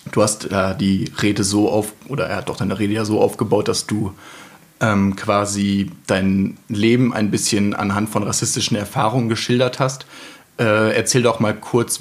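A man hosting a podcast, calmly asking an interview guest long, searching questions. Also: lowish voice, moderate pace. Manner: calm, searching questions in long winding sentences. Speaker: podcast host.

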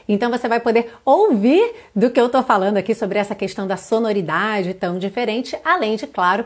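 Brief: woman from Brazil, English teacher, high-ish voice, careful slow reading of lines. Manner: reads English lyrics slowly and carefully, then translates. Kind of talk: online language lesson.

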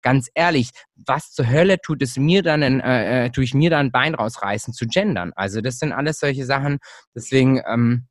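A man from Germany with a mid-range voice talks in an animated way, often restarting his sentences. The recording is dry and close-miked. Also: medium pitch, normal speed, dry recording. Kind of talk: casual conversation.

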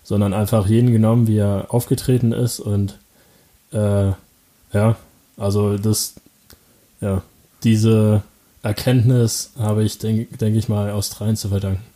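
Low, flat-voiced young German man. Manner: halting, many fillers and restarts, laughs often. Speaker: interviewee. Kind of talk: casual interview answers.

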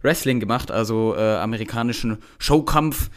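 A man from Bavaria, a guest in a podcast interview, talking casually in a relaxed, chatty way with many fillers. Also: mid-range voice, moderate pace.

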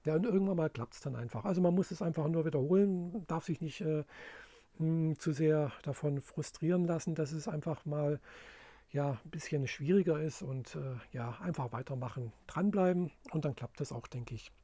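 A man's voice: a little high, warm tone, slightly rough, dry recording. A man talks in a casual, rambling monologue, full of fillers and restarts. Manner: rambling, halting, many fillers. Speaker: language learner.

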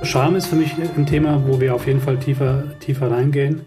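A man's voice: mid-range voice, fairly even intonation, brisk, loud music behind the voice. A man co-hosting a podcast, speaking reflectively and hesitantly with frequent fillers. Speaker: podcast co-host. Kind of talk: podcast conversation.

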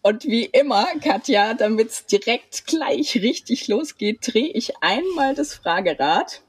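A woman hosts a casual podcast in a slightly rough, breathy voice. She is welcoming and encouraging with her guest. The recording is dry, with little room sound. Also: a little high, animated, a little slow, no room sound. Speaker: podcast host.

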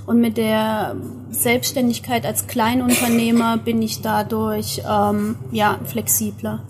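A woman in her thirties, a photographer, answering interview questions in a steady, earnest way with some fillers, sitting outdoors. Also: a little high, slow pace, noisy background. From Germany, near Erfurt. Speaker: guest, photographer. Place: outdoor terrace. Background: outdoor ambience.

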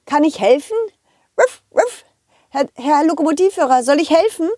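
A cheerful little male dog from a children's audio play, performed by a woman. The voice is high and very animated, eager and excitable, chatting straight to young listeners in a close, dry sound. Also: normal speed, dry recording. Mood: excited, eager. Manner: excitable, addresses children directly.

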